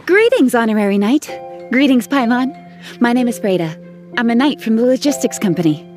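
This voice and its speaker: A woman's voice: a little high, animated, a little fast, a little mellow, soft background music, lightly acted. A woman voicing a polite, earnest young knight in an anime-style video game, formal and deferential. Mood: respectful, honored.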